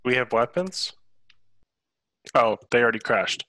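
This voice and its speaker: A man's voice: mid-range voice, lively intonation, a little slow, bright, forceful tone, no room sound. A man chatting casually as a player in a tabletop roleplaying game over a video call.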